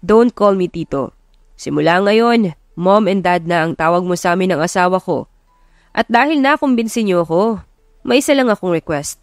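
A woman's voice: fairly low pitch, expressive, moderate pace, dry recording, soft background music. A strict father of a grown son acted out by a woman narrator in an audio love story. He is terse, commanding and skeptical, switching between Tagalog and English. The recording is close and dry. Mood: firm, accepting.

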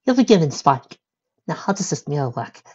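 A man's voice: fairly high pitch, very animated, a little fast, a little mellow, breathy edge, dry recording, highs cut off, pitched above the performer's own voice. A man voicing a refined young female unicorn in a storybook reading, tender and distressed with emotional pleading. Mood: curious, indignant.

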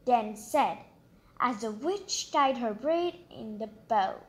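A woman's voice: a little high, lively intonation, takes it slowly. A woman reading a children's storybook aloud expressively, breaking off for chatty, exclamatory asides of her own. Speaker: storybook reader and channel host.

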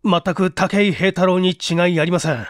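A man's voice: high-pitched, steady tone, normal speed, no room sound, studio quality, pitched above the performer's own voice, close to an everyday voice. A man voicing a frightened, flustered witness with stammering, breathless exclamations in a mystery audiobook. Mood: shaken, insistent. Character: frightened, flustered man who found a corpse. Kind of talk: dramatized mystery audiobook reading.

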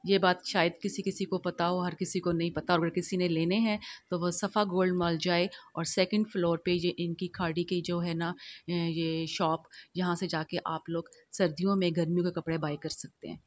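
A middle-aged woman narrates her own vlog over the footage, chatty and homely, leaning on little fillers as she goes. Her voice sits fairly low and stays level, with little rise or fall. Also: little pitch movement, moderate pace. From Pakistan. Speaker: vlogger.

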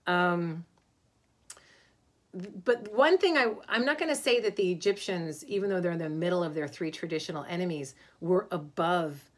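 A middle-aged woman, an Egyptologist, lecturing informally to a webcam from her home study, thoughtful and pausing with many ums and restarts. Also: lowish voice. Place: home study with bookshelves, indoors.

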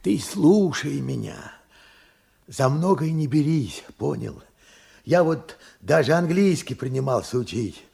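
Old war veteran in a radio drama, really raspy n creaky, weary, urgent, regretful. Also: male voice, on the high side, animated, a little slow, darkish tone, full character voice. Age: older. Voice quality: hoarse, husky, cracked. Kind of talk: Soviet radio play acting.

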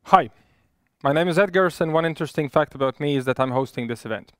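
Male ceremony host, headset mic, dry studio, smooth presenter delivery. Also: on the high side, fast, no room sound. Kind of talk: ceremony hosting. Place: studio with virtual backdrop.

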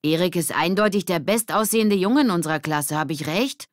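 A woman voicing spirited schoolgirls from a children's audiobook, animated and teasing. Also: fairly low pitch, brisk, no echo, studio quality, lightly acted. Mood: excited, challenging. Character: spirited, cheeky schoolgirls in a gang.

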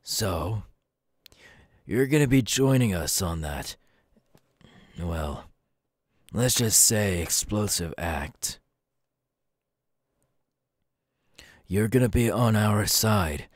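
Casting: male actor as a young villain recruiter for ASMR roleplay, low voice, dry close sound, deliberate and coolly menacing. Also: no room sound. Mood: approving, menacing. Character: young adult male supervillain recruiter, cool, menacing, sly.

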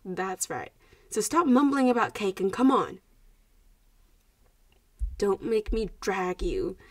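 A woman acting as a haughty vampire schoolgirl with a fairly high voice and an unhurried delivery. She teases and bosses, yet has a protective streak, in the manner of a roleplay audio drama. The sound is close and dry. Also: a little mellow, no echo, full character voice. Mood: teasing, bossy. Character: young-seeming 164-year-old vampire schoolgirl, teasing, haughty yet protective.

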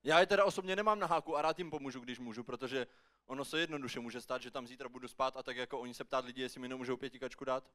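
A man's voice: medium pitch, fairly bright tone. A man performing rhymed slam poetry with theatrical, rhythmic storytelling. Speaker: slam poet. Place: live slam poetry final on stage.

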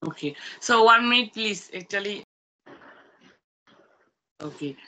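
A woman interviewing a guest, speaking hesitantly with many pauses and fillers. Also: medium pitch, animated, bright, forceful tone, low-quality recording. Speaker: interviewer, channel host. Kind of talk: casual interview.

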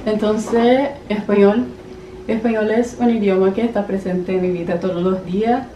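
A woman's voice: medium pitch, steady tone, normal speed, dark tone. A young woman of eighteen introduces herself in a careful, practised way from her bedroom desk. The room rings around her, and the camera sits a little way off, with a faint hiss underneath. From Brazil. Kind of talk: short self-introduction to camera. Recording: echoey room, mic a bit far.

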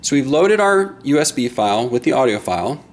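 A man's voice: medium pitch, sing-song, a little fast, slight background noise. A man giving a semi-scripted product demonstration in an explanatory, step-by-step manner.

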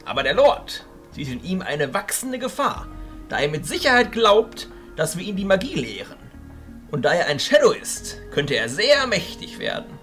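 A man's voice: very animated, normal speed, soft background music. A man voicing a commanding, bearded old wizard archchancellor in a fantasy audio drama, speaking in an emphatic, declamatory way. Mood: earnest. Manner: declamatory, emphatic, swinging between hushed and booming.

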